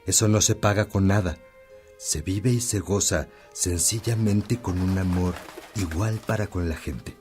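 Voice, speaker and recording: Man acting a deep-voiced, wise old priest. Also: over music. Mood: reassuring, fatherly. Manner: measured, earnest storytelling. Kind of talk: Spanish-language radio drama acting.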